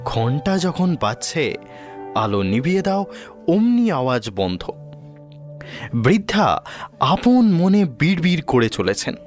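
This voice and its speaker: A man narrates a detective story. His voice sits slightly high and moves expressively, with measured pacing over soft music. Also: big pitch swings.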